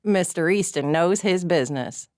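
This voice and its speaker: A woman voicing a glum-faced male prisoner who talks in a gruff, blunt, plain-spoken way. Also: fairly low pitch, moderate pace, darkish tone, dry recording, clean studio sound, natural voice.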